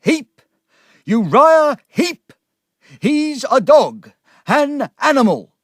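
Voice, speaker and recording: Man acting a loud, high-pitched, distraught gentleman. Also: very animated, slow, creaky, no echo, studio quality, heavily acted. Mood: furious shouting. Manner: impassioned, loud, exclamatory. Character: distraught, guilt-ridden older gentleman raging and weeping. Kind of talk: dramatised audiobook reading.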